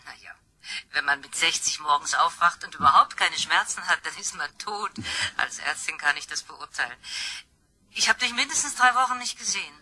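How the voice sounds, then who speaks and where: A woman's voice: deep voice, animated, brisk, sharp tone, heavily acted. An actress in a radio crime drama playing a dry, caring mother and doctor of sixty. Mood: dry, fond.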